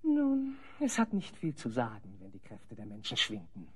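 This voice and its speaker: An actress playing a haughty, wicked forest sorceress in a German audio drama, imperious and theatrical. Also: female voice, a bass voice, wildly swooping pitch, moderate pace, darkish tone, breathy edge, heavily acted. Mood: scheming, disdainful.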